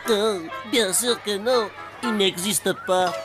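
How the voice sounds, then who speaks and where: A woman's voice: medium pitch, slow pace, loud music behind the voice, lightly acted. A woman voicing an earnest, curious and sceptical schoolchild in a French cartoon. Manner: earnest, matter-of-fact, curious. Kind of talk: French animated cartoon dubbing.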